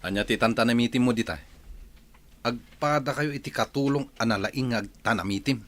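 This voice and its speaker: A man's voice: mid-range voice, a little fast, fairly bright tone, natural voice. A man with an Ilocano accent playing a domineering abusive husband in a radio drama, taunting and scolding. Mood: sneering, scolding.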